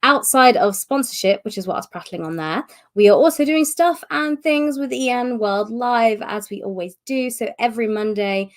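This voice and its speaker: Chatty woman with a gravelly voice. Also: on the high side, moderate pace. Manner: chatty, rambling, with many fillers and restarts. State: recovering from a cough, voice strained. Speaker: host of publisher's live stream. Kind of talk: casual monthly update stream.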